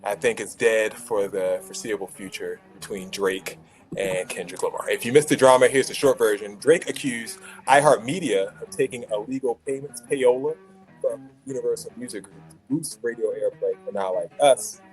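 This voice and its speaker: A man's voice: fairly low pitch, normal speed, faint music behind, rough recording. A man hosting an opinionated panel debate, blunt and needling in the way he talks. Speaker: host of a hip-hop debate show.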